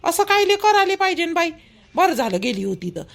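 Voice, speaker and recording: A woman's voice: very high voice, sing-song, a little fast, vocal fry, full character voice. A woman voicing a chatty, meddling, protective elder in a village cartoon, with a colloquial Vidarbha Marathi accent. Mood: indignant gossip. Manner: colloquial, gossipy, advising.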